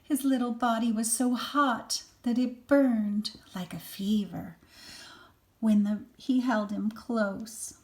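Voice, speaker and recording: Slow-paced older woman reading to children. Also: a little high, fairly even intonation. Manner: child-directed, dramatic emphasis, gasps and hushed asides. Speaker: grandmother reading a storybook. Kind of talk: expressive children's read-aloud with chatty asides.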